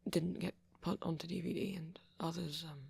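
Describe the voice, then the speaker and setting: A woman voicing a shy, anxious, apologetic young-looking male vampire in an ASMR roleplay, speaking softly and hesitantly with nervous stammers and half-whispers. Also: fairly low pitch, fairly even intonation, normal speed, no room sound, full character voice. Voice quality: soft, breathy, often half-whispered. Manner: hesitant, stammering, self-conscious. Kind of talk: ASMR roleplay monologue to a listener.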